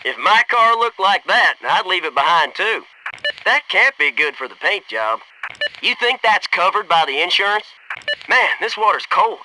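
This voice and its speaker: A man's voice: high voice, big pitch swings, normal speed, noisy background. An American man voicing a wisecracking, gung-ho special forces soldier in a video game, with a sarcastic, informal delivery. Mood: dry, joking. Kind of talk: video game combat barks and quips.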